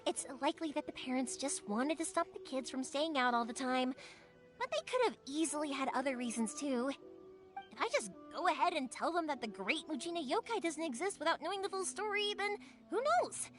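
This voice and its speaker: A bubbly young woman fireworks maker from a video game. Her voice is high and swoops freely with casual, warmhearted chatter. Music plays softly behind her. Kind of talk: animated video game voice acting. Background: background music.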